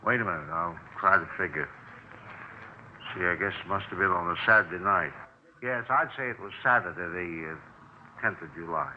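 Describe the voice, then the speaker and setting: An actor playing a surly, defensive landlord in a 1950s radio drama, gruff and evasive, with folksy ungrammatical speech. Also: male voice, low-pitched, normal speed, natural voice. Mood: grudging recall. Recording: dull noisy 1950s radio recording.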